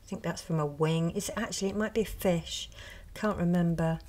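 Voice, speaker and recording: A middle-aged woman, a reiki healer, speaking softly and slowly in a partly whispered show and tell, a little hoarse from a cold. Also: lowish voice. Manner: slow, soft, partly whispered. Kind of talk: soft-spoken ASMR show and tell. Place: indoors at a table. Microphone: close mic over a tabletop.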